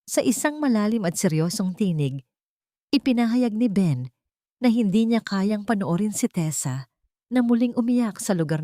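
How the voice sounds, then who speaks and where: A woman narrating a sentimental love story in a measured, solemn, dramatic way. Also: medium pitch, dark tone, no echo, studio quality.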